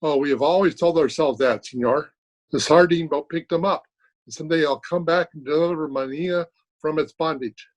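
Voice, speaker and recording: A man's voice: fairly high pitch, fairly quick, lightly acted. A man playing a courteous, gloomy taxi driver in an old-time radio drama. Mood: solemn. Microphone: video call.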